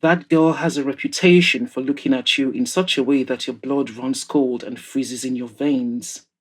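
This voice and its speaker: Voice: medium pitch, fairly even intonation, moderate pace, lightly acted. A narrator voicing a cheeky, teasing bachelor friend in an audio story. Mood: teasing, knowing. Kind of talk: audiobook-style character dialogue.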